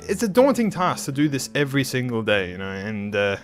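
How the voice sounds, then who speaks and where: A man's voice: medium pitch, sing-song, brisk, soft background music. A man hosting his art channel in casual talk over a speed drawing, a bit nasal and under the weather, rambling with many restarts. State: slightly sick.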